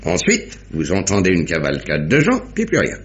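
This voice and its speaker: A genial, middle-aged police inspector, methodically lays out a case. His pitch swoops dramatically through each deliberate phrase. The sound is that of a dull vintage radio drama recording. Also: wildly swooping pitch. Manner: deliberate, theatrical recapping. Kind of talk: 1950s French radio mystery acting.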